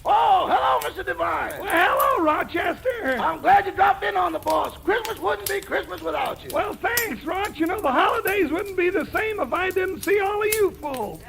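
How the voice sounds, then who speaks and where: An actor playing a jolly, wisecracking sidekick with a husky, gravelly voice in 1940s radio comedy. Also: male voice, extremely high pitch, animated, moderate pace, few pauses, fairly bright tone, exaggerated voice. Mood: cheery, loud. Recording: noisy old 1940s radio recording.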